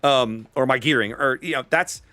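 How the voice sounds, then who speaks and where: A man's voice: mid-range voice, moderate pace, bright, forceful tone, no echo. A man chatting casually with viewers while commentating on his own live gameplay, thinking aloud in an opinionated, conversational way. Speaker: game live streamer. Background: faint game music.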